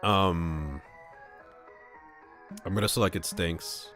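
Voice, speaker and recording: A man's voice: deep voice, slow, a bit bright. A man reading a story aloud on a casual livestream, with music behind him. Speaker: streamer reading a visual novel aloud. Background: background music.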